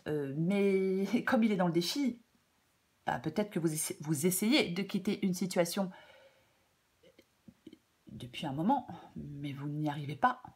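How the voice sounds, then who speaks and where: A woman with a French accent giving a tarot reading in a casual, hesitant way, slightly hoarse, with many fillers and repeated phrases. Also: fairly low pitch, animated, unhurried, pauses often, a little mellow. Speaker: tarot reader. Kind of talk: casual spoken tarot guidance.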